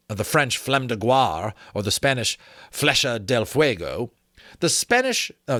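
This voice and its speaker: पढ़कर सुनाता पुरुष, बहुत उतार-चढ़ाव भरी आवाज़, सूखी रिकॉर्डिंग. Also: male voice, medium pitch, no room sound, studio quality. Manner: expressive, emphatic reading. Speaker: host reading a novel aloud with his own asides. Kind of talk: dramatic audiobook-style reading with casual asides.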